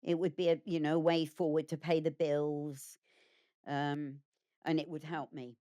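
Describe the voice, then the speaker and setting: A woman's voice: low-pitched, little pitch movement, a little slow, dark tone. A woman giving a candid documentary interview about her experiences. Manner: plain candid recounting.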